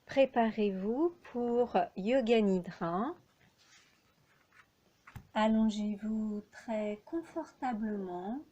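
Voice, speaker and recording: A woman leading a guided yoga relaxation as an instructor, speaking calmly and in measured phrases with long pauses. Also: medium pitch, lo-fi sound.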